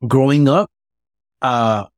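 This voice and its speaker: A man's voice: medium pitch, takes it slowly, no room sound. A man from Miami, a businessman and former boxer, answering interview questions candidly with personal examples. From Miami, USA. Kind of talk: conversational interview.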